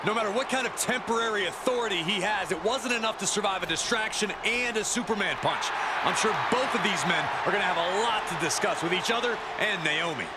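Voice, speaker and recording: A sardonic male wrestling pundit acted by a man comments for a video game. He speaks high, with emphatic, opinionated sarcasm. Very loud arena noise runs behind him. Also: fast, close to an everyday voice.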